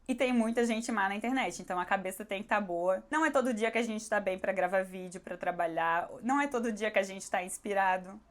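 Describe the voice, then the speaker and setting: Casting: female Brazilian vlogger, slightly hoarse, mid-pitched, candid and conversational in a casual Q&A. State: hoarse, recovering her voice. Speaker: YouTuber answering viewer questions. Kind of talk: casual question-and-answer vlog.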